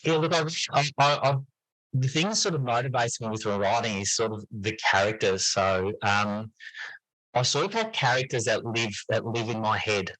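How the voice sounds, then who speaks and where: A man's voice: lowish voice, moderate pace, a bit bright, dry recording, poor audio. A middle-aged man talking reflectively as an interview guest, often repeating words and hedging as he explains his thoughts. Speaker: podcast guest, teacher and fiction writer.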